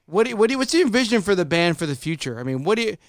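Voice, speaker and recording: A man with a Chicago accent hosts a casual podcast chat. His voice sits high and sounds smooth, and it is recorded dry and close. He talks loosely, repeats himself and laughs a lot. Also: very animated, racing, no room sound. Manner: laid-back, repeats himself, laughs often. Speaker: podcast host.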